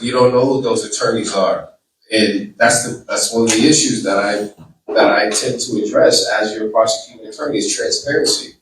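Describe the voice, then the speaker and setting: A man's voice: lowish voice, a little hiss or hum. Boston-accented man speaking in an echoey hall. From Boston, Massachusetts. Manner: earnest, repeats himself. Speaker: political candidate. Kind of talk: campaign speech and Q&A. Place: large room with an audience. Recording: echoey room, distant mic.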